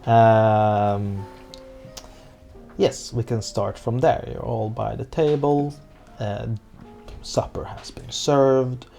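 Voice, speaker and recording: Slow, creaky-voiced man narrating as game master. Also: mid-range voice, fairly even intonation, warm tone, soft background music. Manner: halting, searching for words. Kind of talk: improvised scene-setting for a tabletop roleplay audio drama.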